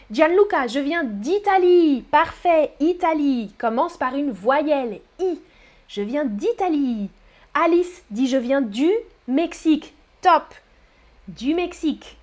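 Slow, high-voiced woman teaching French. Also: darkish tone. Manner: encouraging, repeats praise words; slow, careful articulation for learners. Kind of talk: live-streamed language lesson reading chat.

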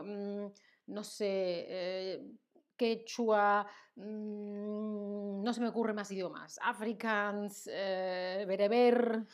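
Unhurried Andalusian woman teaching live. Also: mid-range voice, a little mellow. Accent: Andalusian Spanish. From Seville, southern Spain. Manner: playful, chatty, laughs often, reads chat names aloud; lists words slowly with hesitant fillers. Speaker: Spanish teacher hosting a live stream. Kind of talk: live-stream lesson with chat interaction.

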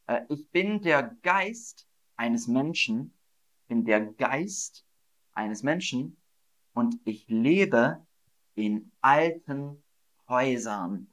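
A man teaching German learners with a riddle quiz, speaking slowly and deliberately, repeating each phrase and laughing at his own slips. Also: medium pitch, animated, fairly bright tone, low-quality recording. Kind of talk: slow, repetitive quiz lesson for learners.

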